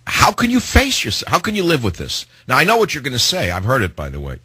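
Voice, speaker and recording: A man hosting a talk radio show, delivering a sarcastic, indignant monologue with theatrical swings in pitch. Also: wildly swooping pitch, fast, bright, forceful tone, husky, no echo.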